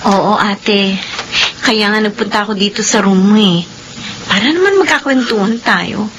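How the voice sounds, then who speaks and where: A woman's voice: medium pitch, normal speed, a bit bright, slight background noise, close to an everyday voice, poor audio. An actress playing a caring, earnest young Filipino woman in a radio drama. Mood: confiding.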